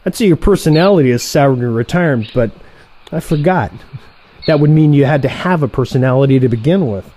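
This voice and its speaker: A man's voice: medium pitch, lively intonation, brisk, mellow, close to an everyday voice. An actor playing a cocky younger male assassin who banters with teasing sarcasm in a comic audio play. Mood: mocking.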